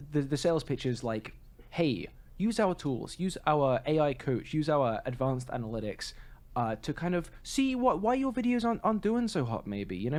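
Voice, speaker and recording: A man's voice: fairly high pitch, darkish tone. A man co-hosting a podcast, talking in a casual, conversational style. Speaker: podcast co-host.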